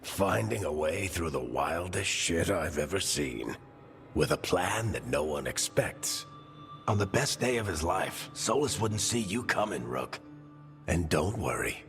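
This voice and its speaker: A man's voice: deep voice, animated, measured pace, a bit bright, exaggerated voice. An actor playing a wry, fatherly dwarf mentor in a video game, who calls the hero kid. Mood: encouraging. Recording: long hall reverb, faint music. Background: faint game music.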